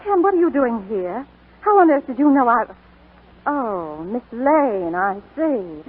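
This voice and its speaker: An actress playing a flustered, wry young bride-to-be in a 1940s radio drama. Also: female voice, a little high, big pitch swings, measured pace, husky, heavily acted. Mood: flustered, hurried. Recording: tinny 1942 radio broadcast, faint music.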